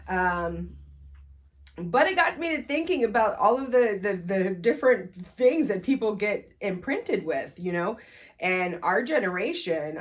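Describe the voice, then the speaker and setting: A woman in her forties with a crackly voice, hosting her own show in a casual solo chat. She laughs often, trails off and restarts mid-sentence. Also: medium pitch. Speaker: podcast and radio host.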